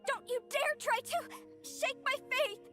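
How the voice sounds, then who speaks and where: An actress playing a devout, distraught young woman in a video game, speaking in a halting, fragile, pleading way. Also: very high-pitched, a bit bright, soft background music, heavily acted. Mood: distraught, denying. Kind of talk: scripted video game voice acting.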